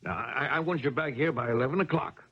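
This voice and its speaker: An actor playing a gruff, bossy middle-aged father and manager, brusque and streetwise, in a 1970s radio drama. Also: medium pitch, quick pace, lightly acted. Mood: bossy, agitated. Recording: muffled old radio broadcast.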